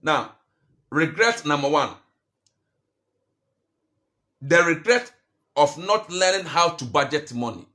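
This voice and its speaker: A man giving a motivational finance talk, full of restarts and rhetorical questions, and growing louder and higher when he urges his listeners. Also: expressive, a little slow. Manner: rises in pitch and force when urging. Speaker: finance coach.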